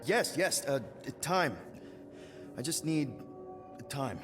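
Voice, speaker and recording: An actor playing an earnest, flustered young leader of a spacefaring team in a video game, informal, stammering and pleading. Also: male voice, on the high side, expressive, slow pace, big-room sound, over music. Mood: nervous pleading. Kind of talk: video game cutscene acting.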